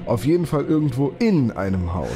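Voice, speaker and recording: An actor playing a polite, inquisitive policeman in a German audio drama, matter-of-fact and calmly suspicious. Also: medium pitch, a little fast, over music. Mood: probing.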